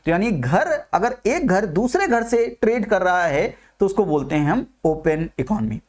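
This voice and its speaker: A man's voice: sits high, big pitch swings, moderate pace. A male economics teacher giving an exam-prep video lecture, repeating each point and asking the listener questions. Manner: mixes English terms into talk.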